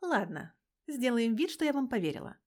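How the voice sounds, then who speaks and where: A woman's voice: a little high, big pitch swings, normal speed, a little mellow, voice pushed up. A woman voicing a brash, foul-mouthed, suspicious petite policewoman in an audio story, blunt and slangy. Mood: wry, reluctant.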